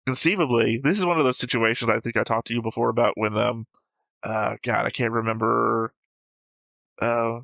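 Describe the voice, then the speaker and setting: A man hosting a casual reaction show in a bright, forceful voice with a gravelly edge. He is blunt and sweary, argues his points, and the sound is dry and close. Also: medium pitch, no room sound. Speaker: host of anime reaction show. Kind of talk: casual chat.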